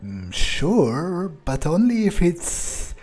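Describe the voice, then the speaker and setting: Slow, mellow, sardonic male gamer. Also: high-pitched, big pitch swings, faint music behind. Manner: musing, hesitant, sardonic. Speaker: gamer role-playing his character. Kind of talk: in-character video game playthrough, thinking aloud. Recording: faint background game ambience.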